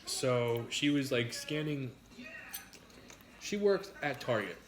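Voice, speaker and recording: A man's voice: mid-range voice, animated, slow pace, a little hiss or hum. A man chatting casually and bluntly over food, with some swearing. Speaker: mukbang co-host. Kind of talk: casual chat while eating. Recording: echoey room with busy background chatter.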